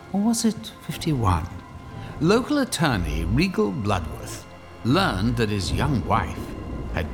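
Sly horror storyteller, hugely swooping intonation, measured pace, theatrical, macabre, radio drama narration. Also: male voice, fairly low pitch, heavily acted. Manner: theatrical, sly, dropping toward hushed emphasis. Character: sly, macabre male horror storyteller, relishing grisly tales.

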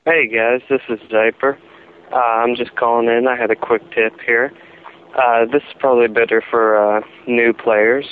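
A man leaves a voicemail over a tinny phone line, stumbling through his tip with constant fillers. His voice sits at an ordinary pitch. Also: steady tone. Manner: halting, many "uh" fillers. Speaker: caller.

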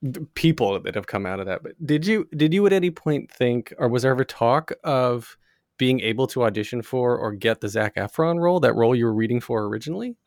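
A man's voice: medium pitch, lively intonation, a little fast, darkish tone, no room sound, clean studio sound. A man hosting an interview podcast, asking questions in an enthusiastic, conversational way. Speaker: podcast host. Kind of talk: casual interview.

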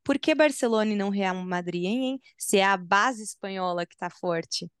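A woman's voice: medium pitch, moderate pace, smooth, no room sound, clean studio sound. A Brazilian Portuguese-speaking woman co-hosting a casual sports chat, eager and playful as she adds her points. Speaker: podcast co-host.

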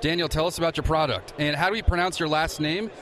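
A man's voice: a little high, steady tone, fast talker, fairly bright tone. A man hosting casual interviews for his podcast, asking friendly, chatty questions.

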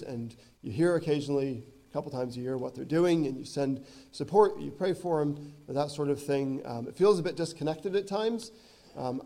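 Hoarse thirties man, missionary addressing a congregation, earnest with ums, warm mellow tone. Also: medium pitch. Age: 30s. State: hoarse from a cold. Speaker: visiting missionary. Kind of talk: church missionary talk. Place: church sanctuary.

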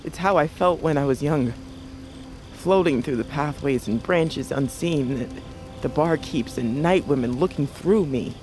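A man's voice: a little high, measured pace, a little mellow, soft background music, natural voice. A man playing a haunted, sensitive but brave young fugitive in a fantasy audio drama. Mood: haunted, brooding.

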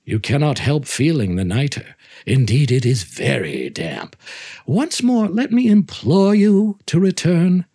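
Man playing a husky, mockingly courteous murderer. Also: a little high, sing-song, dark tone, voice pushed up, full character voice. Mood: mock concern. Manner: theatrical, taunting, deliberate. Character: cold vengeful male murderer, mockingly courteous. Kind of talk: dramatic reading of gothic story.

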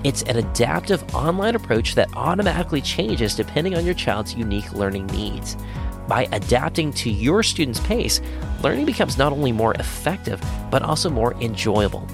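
A man's voice: mid-range voice, very animated, normal speed, creaky. A man reading scripted sponsor spots as a podcast host. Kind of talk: scripted ad narration. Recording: music under the voice. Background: guitar music.

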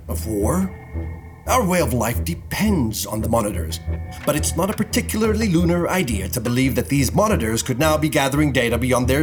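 A man's voice: medium pitch, natural voice. A man playing a polished, pressing talk show host of the far future in a sci-fi audio drama.

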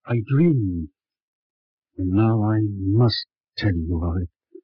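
Tired brooding president in an old radio play, very slow n grave, rough husky voice, muffled tape. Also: male voice, lowish voice, lively intonation, no echo, exaggerated voice. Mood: haunted dread. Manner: grave, halting, hushed solemn delivery. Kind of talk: 1950s radio drama acting. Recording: dull vintage radio recording.

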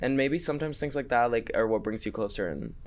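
Animated campy man, podcast guest. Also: mid-range voice, fast. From Chile. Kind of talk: casual chat.

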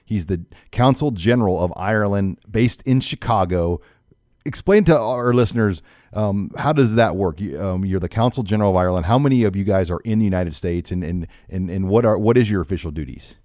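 A man's voice: low-pitched, a little fast, a little mellow, no echo, studio quality. A middle-aged man hosting a sports talk show, asking questions in a chatty, enthusiastic way. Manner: enthusiastic, chatty, some stumbles. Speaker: radio show host.

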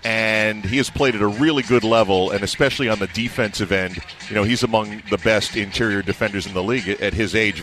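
A man's voice: fairly low pitch, a bit bright. A man working as a play-by-play announcer, giving conversational analysis in a sports radio interview.

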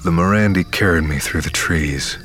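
A man acting as the narrator of an audio drama, playing a weary, haunted survivor who tells his story gravely and in measured phrases. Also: sits low, fairly bright tone, soft background music. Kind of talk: scripted first-person audio fiction narration.

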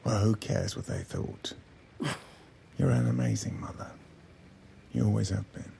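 A man playing a tender, flirtatious ex-husband in an audio drama, with a gravelly, hesitant, intimate way of talking. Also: on the low side, fairly even intonation, a little slow, mellow, slight background noise. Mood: warm, reluctant. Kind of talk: naturalistic audio drama acting.

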